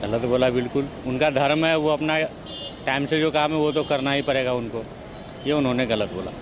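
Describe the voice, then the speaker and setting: A man's voice: medium pitch, fairly quick. A man giving an insistent, off-the-cuff opinion in a street interview. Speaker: interviewed member of the public. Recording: noisy outdoor street with traffic.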